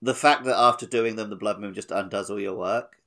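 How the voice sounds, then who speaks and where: A man's voice: fairly low pitch, a little fast, bright, forceful tone. A man co-hosting a gaming podcast, thinking aloud in a colloquial, analytical way. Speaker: podcast co-host. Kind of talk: casual gaming podcast chat.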